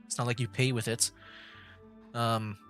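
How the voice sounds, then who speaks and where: A man's voice: medium pitch, flat delivery, unhurried, a bit bright, soft background music. A young man streaming a game from home, giving loose, rambling commentary full of "like" and restarts. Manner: rambling, heavy use of "like", restarts, laughs. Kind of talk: casual gameplay commentary.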